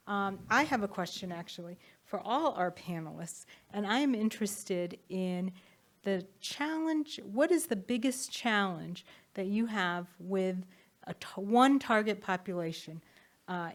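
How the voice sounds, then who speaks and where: A woman with a medium-pitched voice moderates a conference panel. Her speech is semi-spontaneous, in the style of a session chair.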